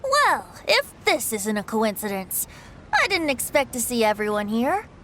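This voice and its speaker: A woman's voice: fairly high pitch, very animated, measured pace, fairly bright tone, a little hiss or hum. A woman voicing a playful, carefree young male bard who teases and laughs easily. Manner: teasing, breezy, laughs easily.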